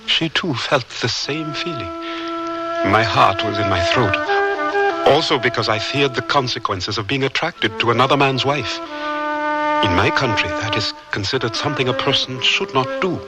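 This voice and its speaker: A man's voice: medium pitch, very animated, normal speed, loud music behind the voice, lightly acted, low-quality recording. An actor playing a polite, bashful, earnest young man in a radio drama, speaking courteously and hesitantly. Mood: reflective, smitten. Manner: hesitant, courteous, often stammering. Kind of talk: radio drama acting and narration.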